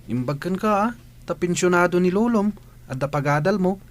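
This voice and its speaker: A man acts a teasing young Filipino student in a radio drama. The voice is somewhat high and very animated, chatting like a schoolmate. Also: moderate pace. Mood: chatty.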